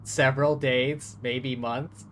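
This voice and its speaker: A man's voice: medium pitch, steady tone, slow, fairly bright tone, slight background noise. A man streaming a video game, giving casual live commentary, musing aloud in a jokey way.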